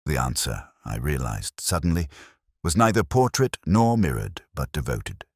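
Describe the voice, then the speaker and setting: A middle-aged man narrating a detective story in the first person as a melancholy, world-weary detective, in a measured, reflective, literary manner. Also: rumbling low, sing-song, slightly rough, no echo, studio quality.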